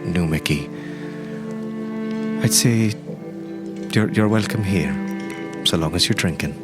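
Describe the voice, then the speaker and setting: A man playing a courteous older mourner at a wake in an improvised audio story, speaking haltingly and with effusive politeness. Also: low voice. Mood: solemn, welcoming. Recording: music behind the voice.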